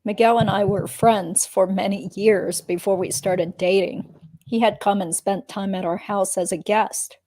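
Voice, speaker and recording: A guarded female witness with a mid-pitched voice, read by a woman. She answers deposition questions in short, measured statements. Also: fairly even intonation, a little mellow, natural voice, low-quality recording. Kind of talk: dramatic reading of a deposition transcript.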